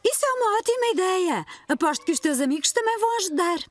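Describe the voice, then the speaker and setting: A woman's voice: extremely high pitch, expressive, fast talker. A woman voicing a kind, encouraging young preschool teacher in a children's cartoon, with a European Portuguese accent. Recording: music behind the voice.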